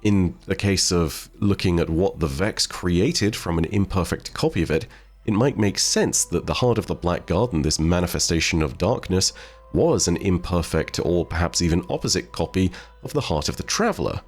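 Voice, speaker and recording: Low-voiced man explaining game lore. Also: lively intonation, moderate pace, husky. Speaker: video game lore commentator. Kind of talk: explanatory commentary for viewers. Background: faint music.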